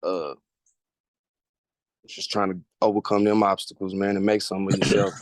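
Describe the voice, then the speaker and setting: A man's voice: low voice, level tone, moderate pace, no echo. A man in his late twenties from Kentucky, a music artist chatting loosely with slang in an interview. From Lexington, Kentucky. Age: 20s. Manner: loose, slangy, laughs easily. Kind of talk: casual interview chat.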